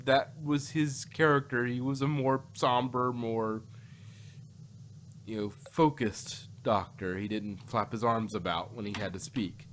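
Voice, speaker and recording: A man co-hosting a casual fan discussion, talking in halting phrases with a joking bent. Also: medium pitch, steady tone, a bit bright, a little hiss or hum. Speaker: podcast co-host.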